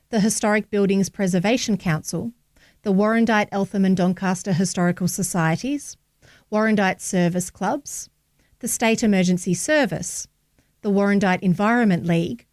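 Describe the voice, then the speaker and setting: A woman's voice: mid-range voice, darkish tone, no room sound, clean studio sound. A woman reading a local newspaper article aloud as scripted narration.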